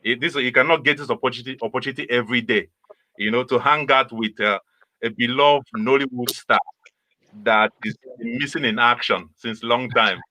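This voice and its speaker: A man's voice: mid-range voice, fairly even intonation, moderate pace, pushed and bright, no room sound, poor audio. A young adult man, hosting a casual interview on a video call with friendly questions. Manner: friendly, laughing, plain questions. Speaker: host. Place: indoors, small room with red wall. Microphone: webcam mic on video call.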